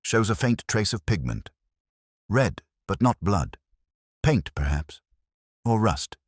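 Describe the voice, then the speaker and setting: A man voicing an incisive, commanding English detective in an audiobook, with measured, clipped delivery. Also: on the low side, big pitch swings, slightly rough, no echo, clean studio sound, voice pushed up, full character voice. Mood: brisk, probing.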